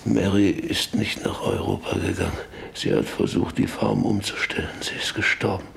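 Gravelly, broken rancher. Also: male voice, low voice, very animated, exaggerated voice. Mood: desolate, pleading. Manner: halting, weary, breaking into hoarse whispers. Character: broken, guilt-ridden male rancher facing his end. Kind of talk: German radio play acting.

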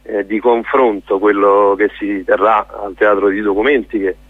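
A man interviewed by telephone, heard through a narrow, dry line. He talks earnestly as a theatre director, often pausing and rephrasing while he looks for the right word. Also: on the low side, little pitch movement, husky, dry recording. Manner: hesitant, searching for words, earnest. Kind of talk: spontaneous radio interview. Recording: narrow tinny phone line.

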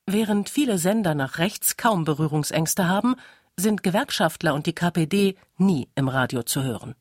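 Documentary narrator, medium pitch, slightly husky voice, scripted delivery, very dry close recording. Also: expressive, normal speed, no room sound.

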